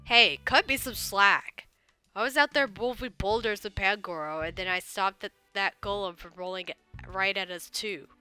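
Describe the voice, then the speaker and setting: Woman doing a cocky little mouse detective, boastful, bright crisp voice. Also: medium pitch, normal speed, faint music behind, close to an everyday voice. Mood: defensive. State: recovering from a cold. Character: cocky food-loving male yellow electric mouse detective.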